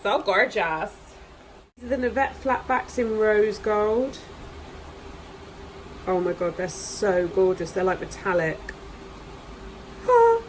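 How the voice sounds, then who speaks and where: A woman talks through an unboxing in a casual, gushing way, sniffling now and then from hay fever. Her voice sits at an ordinary pitch for a woman. A faint hiss of background noise runs under the recording. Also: very animated, slow, long pauses, low-quality recording. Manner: chatty, gushing over products. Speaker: nail vlogger. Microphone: camera mic, voice off camera.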